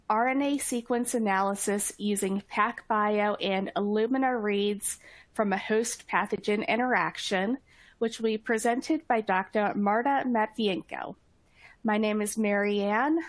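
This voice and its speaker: A woman's voice: a little high, dry recording. Woman webinar host, dry close sound. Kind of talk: scripted webinar introduction.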